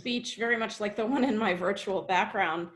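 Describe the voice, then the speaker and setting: A woman on a video call sharing a reflection softly and hesitantly. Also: mid-range voice, normal speed. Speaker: workshop participant.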